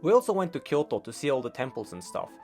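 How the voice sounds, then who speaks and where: A man's voice: mid-range voice, quick pace, soft background music. Wry young man narrating a vlog. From Norway. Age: young adult. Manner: dry, wry, deadpan humor. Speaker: vlogger narrating his life. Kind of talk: scripted voice-over narration.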